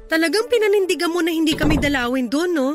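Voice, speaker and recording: A woman's voice: extremely high pitch, animated, fast talker, music in the background. A woman playing a distressed young mother standing up to an abusive partner in an emotional Tagalog radio drama. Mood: hurt, reproachful. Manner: emotional, confrontational.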